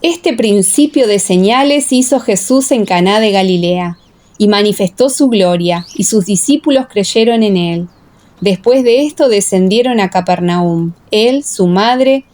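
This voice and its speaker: A woman's voice: medium pitch, a little slow, darkish tone. A woman narrating a dramatized Bible audiobook in a solemn, carefully phrased way.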